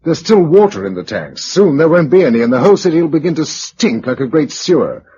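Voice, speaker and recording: A man's voice: a little high, expressive, fairly quick, dark tone, husky. A man acting in a British radio drama as a practical, level-headed survivor, speaking in a clipped, matter-of-fact way with a British accent. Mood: urgent, grave. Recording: dull lo-fi radio recording, faint noise.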